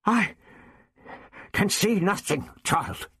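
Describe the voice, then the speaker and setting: Male narrator as protective old doctor, excitable, high-pitched for him, emphatic, swooping intonation, science fiction audiobook. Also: breathy edge, no echo, exaggerated voice. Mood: strained. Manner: emphatic, halting exclamations.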